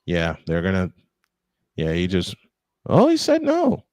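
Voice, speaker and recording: A man's voice: deep voice, huge pitch swings, a little slow, darkish tone, dry recording. A man calling baseball play-by-play live, mixing it with casual chat in a folksy, exclamatory way.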